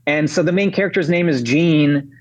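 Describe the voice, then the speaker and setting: A man's voice: on the high side, normal speed. A man in his thirties, originally from Ukraine, chatting casually as a podcast guest, rambling with many fillers and restarts. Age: 30s. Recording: dull lo-fi audio.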